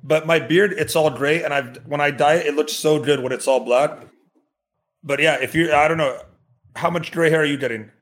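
A man's voice: on the high side, moderate pace. A man in his thirties, English his second language, joking and stumbling over restarts in a casual podcast chat. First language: not English. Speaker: streamer guest.

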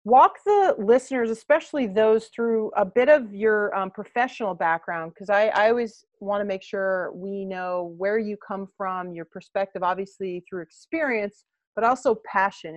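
A woman's voice: mid-range voice, dry recording. Chatty woman host, dry muffled sound. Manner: chatty, many fillers and "obviously". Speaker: podcast host. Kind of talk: interview host questions.

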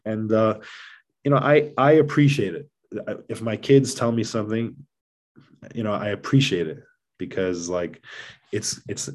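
A man's voice: low-pitched, a little mellow. A man speaking as a podcast guest in casual conversation, explaining things in an earnest, emphatic way. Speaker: podcast guest, father of seven.

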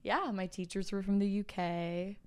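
A woman's voice: mid-range voice, fairly even intonation, normal speed, darkish tone. A young woman from China, a guest on a casual chat podcast, who talks in a chatty way, giggling often and filling gaps with ums.

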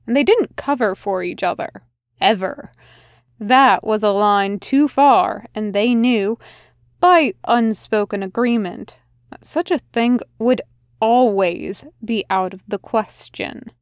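A woman reading a fan fiction story aloud in a soft, unhurried narration. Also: medium pitch, no echo, clean studio sound. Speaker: podfic reader.

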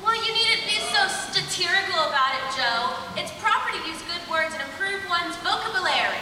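A woman's voice: very high-pitched, animated, brisk, sharp tone, slight background noise, full character voice. A woman playing a prim, genteel young sister in an old-time radio drama, with an affected, theatrical manner. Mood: prim, offended. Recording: very echoey hall, distant mic.

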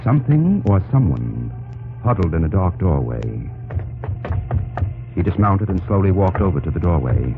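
Deep-voiced, dramatic old-radio narrator man. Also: big pitch swings, moderate pace, pauses often. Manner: solemn, declamatory. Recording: muffled old radio recording, loud music behind.

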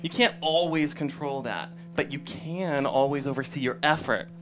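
A man's voice: a little high, moderate pace, fairly bright tone, natural voice. A man playing a crude, sarcastic tough-love gym boss at a sitcom table read. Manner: sarcastic, profane, hectoring.

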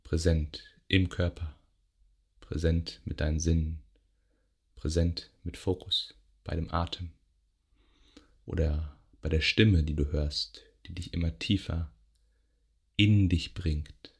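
Very deep, slow-speaking male meditation guide. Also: fairly even intonation, gravelly, breathy edge. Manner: gentle, unhurried, with long pauses between short phrases. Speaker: yoga nidra meditation guide. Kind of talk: guided meditation, soothing relaxation instruction.

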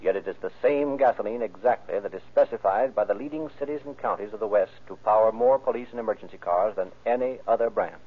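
A man's voice: on the low side, fast talker, hardly any pauses, husky. An American man announcing and narrating from a script in 1930s old-time radio style. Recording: muffled, band-limited old radio recording.

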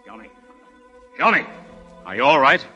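A man's voice: mid-range voice, big pitch swings, moderate pace, sharp tone, husky, highs cut off, full character voice. An actor playing a concerned, loyal policeman, urgent and earnest, in 1930s radio acting style. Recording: 1930s radio recording, music behind.

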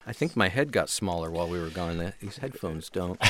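A man's voice: deep voice, fairly bright tone. A middle-aged man co-hosting a music show in casual, unscripted conversation. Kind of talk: casual unscripted radio chat.

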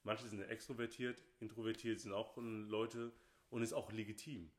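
Low-voiced man, emphatic, roomy echo. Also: little pitch movement, normal speed. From Nigeria. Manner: emphatic, repeats phrases, often says "okay, hey". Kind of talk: casual opinionated chat.

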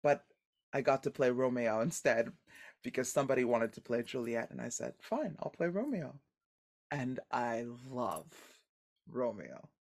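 A woman with a deep voice, a guest actor in a casual podcast interview, telling stories in an animated, expressive way and laughing often. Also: a little slow.

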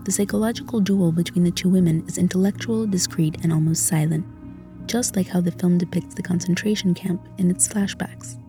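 A woman narrates a scripted video essay about film. Her voice is mellow and rather low, with faint music underneath. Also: steady tone, fairly quick.

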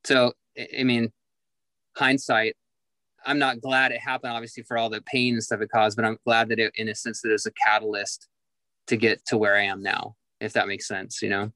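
Bright-voiced reflective man in his forties. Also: fairly low pitch, level tone, normal speed, dry recording, poor audio. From Southern California. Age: 40s. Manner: reflective, many fillers and restarts. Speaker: musician guest. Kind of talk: candid interview conversation.

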